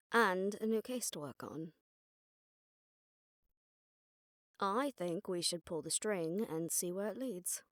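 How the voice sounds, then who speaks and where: A woman's voice: medium pitch, dry recording, studio quality, close to an everyday voice. A woman playing a jaded, sardonic witch detective who sees visions of death, talking conversationally to a partner in a roleplay audio drama. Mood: dry, determined.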